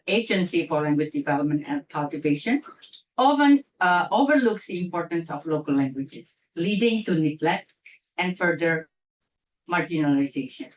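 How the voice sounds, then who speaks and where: A woman's voice: on the low side, a little hiss or hum. A woman from Indonesia giving a prepared closing speech at an academic symposium, careful and restarting on long words. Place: lecture hall. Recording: echoey lecture hall, distant mic, muffled.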